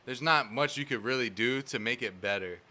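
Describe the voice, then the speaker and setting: A man's voice: mid-range voice, moderate pace, a crowd in the background. A young man in casual podcast chat, blunt and laid-back, swearing freely and often dropping to a mutter. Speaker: podcast guest.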